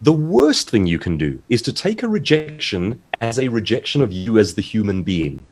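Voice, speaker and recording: Male guest author, low slightly husky voice, very animated intonation, articulate and emphatic advice-giving over a video call. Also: normal speed. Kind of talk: seminar interview, giving advice.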